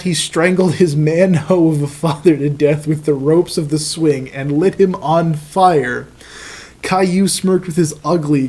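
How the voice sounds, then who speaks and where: A man's voice: on the high side, a little mellow. A man reading fan fiction aloud as host, in a comic narration with profane, mocking casual asides.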